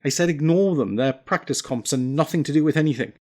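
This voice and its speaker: A man narrating a scripted software tutorial, giving clear step-by-step instructions with wry, self-deprecating asides. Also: a little high, fast. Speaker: tutorial presenter.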